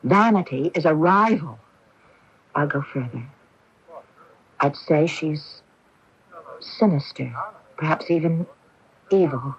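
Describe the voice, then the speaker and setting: An actress playing an anxious, frightened woman with a split personality in a radio mystery drama, halting and confiding. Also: low voice, husky, heavily acted. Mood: fearful, confiding. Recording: muffled old AM radio broadcast.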